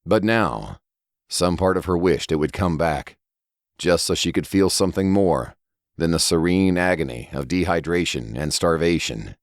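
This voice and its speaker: A man's voice: sits low, moderate pace, dry recording, clean studio sound. A man reading scripted horror fiction aloud as a dramatic narrator. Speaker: audiobook narrator.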